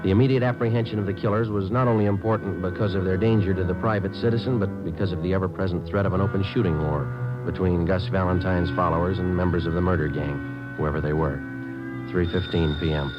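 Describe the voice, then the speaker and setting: Male, American, for old radio crime drama: clipped, businesslike detective played by a man, deep and brisk. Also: natural voice. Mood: matter-of-fact. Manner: clipped, flat, matter-of-fact. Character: terse, businesslike police detective sergeant, narrating his cases. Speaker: police detective and narrator. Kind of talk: 1940s-50s radio drama acting and case narration. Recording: dull old radio recording, music behind.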